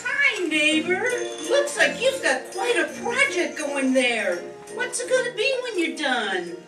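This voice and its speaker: Woman as salesy neighbor, radio comedy spot, slow emphatic swooping delivery, echoey stage. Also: big pitch swings, loud music behind the voice, exaggerated voice. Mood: friendly, knowing. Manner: emphatic, drawn-out pitchwoman delivery. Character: eager salesy female next-door neighbor. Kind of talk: comic radio-commercial acting. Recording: echoey stage, distant mic.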